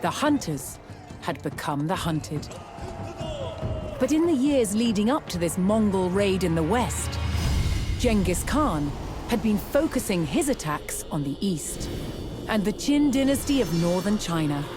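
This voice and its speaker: Slow, measured woman narrator over music. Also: mid-range voice, very animated. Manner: measured, dramatic storytelling. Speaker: documentary narrator. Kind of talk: scripted documentary narration. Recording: music under the voice. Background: background music.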